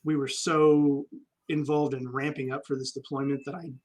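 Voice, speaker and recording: A man's voice: a little high, level tone, normal speed, a little mellow. A man, an army veteran guest, telling stories candidly and reflectively in a casual interview, with frequent ums.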